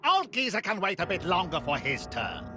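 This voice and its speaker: A man's voice: sits high, very animated, normal speed, over music. A man playing a greedy, scheming little creature chairman in a video game, pompous, speaking in broken grammar. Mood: smug, greedy.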